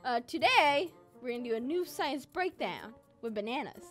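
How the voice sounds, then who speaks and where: A very high, swooping little girl's voice, acted by a young woman, mischievous and childlike in a Minecraft game roleplay. She talks in a playful, excitable way, with music playing behind her. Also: normal speed. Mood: cheerful. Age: young adult. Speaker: gaming video host and roleplayer. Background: background music.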